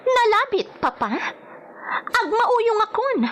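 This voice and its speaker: A woman's voice: very high voice, sing-song, takes it slowly, a bit bright, exaggerated voice. A woman playing an intense, defiant grown daughter, lovesick and jealous, in an emotional, melodramatic radio drama style. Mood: lovesick, desperate. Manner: emotional, insistent. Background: background music.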